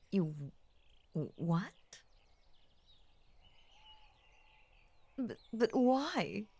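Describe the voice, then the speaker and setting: Woman acting a slow, husky, whispery lovestruck lamia. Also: fairly low pitch, no room sound, heavily acted. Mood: bewildered. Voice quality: breathy, husky, often dropping into whisper. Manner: slow, tender, formal, hushed obsessive devotion. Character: ancient possessive lamia, lovestruck, devoted and murderous. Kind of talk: intimate roleplay audio acting.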